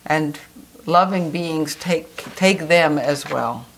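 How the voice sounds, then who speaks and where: A woman guiding a meditation in a soft, gentle, soothing way. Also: deep voice, takes it slowly, low-quality recording. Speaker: meditation guide. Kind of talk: guided meditation.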